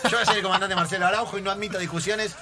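A man's voice: high voice, steady tone, very fast. An Argentine man hosting a playful radio show in a theatrical way, repeating his phrases. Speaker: radio host. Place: radio studio.

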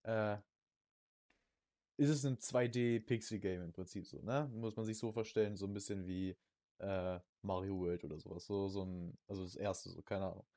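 A man's voice: low voice, steady tone, normal speed, mellow, dry recording. A young man chatting casually as a podcast host about video games, peppering his talk with youth slang and English gaming words. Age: young adult.

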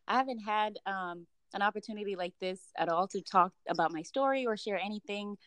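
A woman's voice: medium pitch, brisk, a bit bright, dry recording. Young woman from East Africa, Luganda her first language, upbeat podcast guest, dry close sound. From East Africa (Uganda, Rwanda). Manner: upbeat, fillers, laughs often. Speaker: podcast guest, doctoral student. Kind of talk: casual interview chat.

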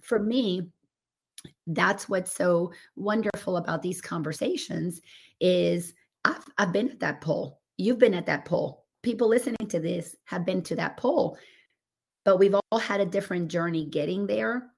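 A woman coach whose voice is raspy after laryngitis, talking earnestly and expansively over a remote call. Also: on the low side, normal speed. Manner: earnest and expansive, building on images. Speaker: coach and guest. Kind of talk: casual spiritual podcast chat.

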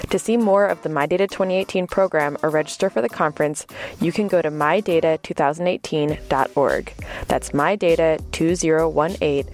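Low-voiced woman hosting a podcast. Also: darkish tone. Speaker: podcast host. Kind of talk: podcast intro and interviewing.

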